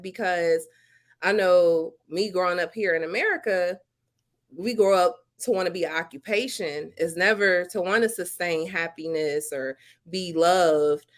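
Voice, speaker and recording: A middle-aged woman hosting an interview, asking questions and affirming her guest's answers. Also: medium pitch. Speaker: host. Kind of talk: casual interview.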